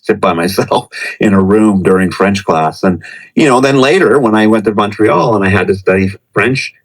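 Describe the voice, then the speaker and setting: A middle-aged man with a very low, deep voice speaks as a guest on a podcast. He talks in a thoughtful, searching way, full of fillers and restarted phrases as he reflects on teaching. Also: lively intonation, moderate pace. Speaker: professor, English teacher in Japan. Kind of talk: conversational podcast interview.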